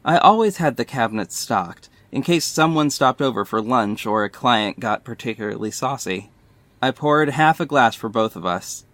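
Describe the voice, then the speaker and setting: A man's voice: medium pitch, animated, normal speed, fairly bright tone, dry recording, lightly acted. An actor playing a sassy, defiant male hero who narrates his own story in an audio drama, with dramatic, pointed delivery. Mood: measured suspense. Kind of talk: first-person audio drama narration and dialogue.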